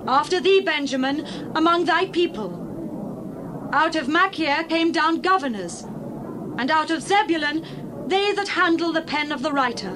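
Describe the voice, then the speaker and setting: High-voiced actress as an exultant biblical prophetess. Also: female voice, a little slow. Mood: exultant, solemn. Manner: declamatory, solemn. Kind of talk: dramatized scripture reading. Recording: clear background ambient noise.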